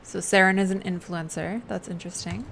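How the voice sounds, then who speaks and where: A woman's voice: on the low side, fairly even intonation, fairly quick, creaky, slight background noise. A woman streaming a game, giving casual, playful commentary and thinking aloud as she reacts. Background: game music.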